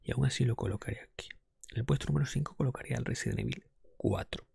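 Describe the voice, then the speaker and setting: A man talking in a casual, breathy ASMR whisper close to the microphone, thinking aloud with some hesitation. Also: mid-range voice, rapid-fire, darkish tone. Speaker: ASMR podcast host. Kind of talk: whispered ASMR talk, casual game ranking.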